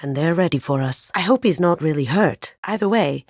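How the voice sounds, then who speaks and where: A woman's voice: deep voice, expressive, a little fast, a little mellow, no room sound. An actress playing a sly, playful young woman squadmate in a video game, with a dry, understated wit. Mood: dry, casual. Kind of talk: scripted video game companion dialogue.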